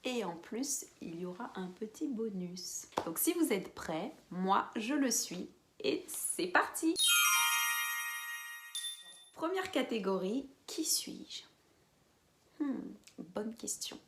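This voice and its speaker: A woman's voice: medium pitch, expressive, slow pace, pauses often, background noise. Young woman chatting in a vlog. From French Guiana. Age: 20s. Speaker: beauty vlogger. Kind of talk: casual vlog chat to camera.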